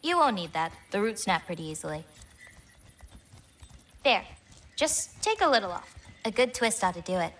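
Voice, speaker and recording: A woman playing a gentle, self-reliant teenage giantess in a video game, speaking in a natural, expressive, conversational way. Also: mid-range voice, moderate pace, long pauses. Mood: encouraging.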